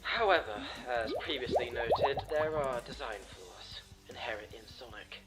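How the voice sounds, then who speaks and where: Pompous evil scientist male actor, mid-pitched, very animated intonation, precise and calculating, comic-dub villainy. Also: loud music behind the voice, lightly acted, rough recording. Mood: analytical. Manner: theatrical, formal, deliberate diction. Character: pompous, scheming villain doctor, a cold analytical schemer.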